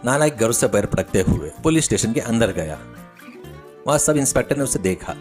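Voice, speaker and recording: A man's voice: mid-range voice, expressive, fairly quick, a little mellow, faint music behind. A man narrating a scripted children's story as the storyteller.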